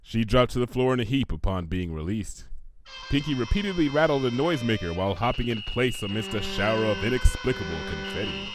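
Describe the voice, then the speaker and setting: A man reading scripted comic fiction aloud as an expressive storyteller. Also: on the low side, fairly quick, background noise. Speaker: fan fiction reader.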